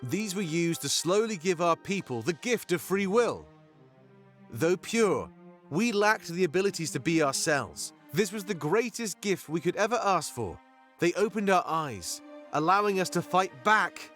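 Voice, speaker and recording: An actor playing a fervent rebel leader in a fantasy video game, giving grandiose, oratorical speeches. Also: male voice, high-pitched, animated, a little slow, a bit bright. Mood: earnest, rousing. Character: fervent male rebel leader, an anthropomorphic animal rallying his fighters. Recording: music behind the voice. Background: music.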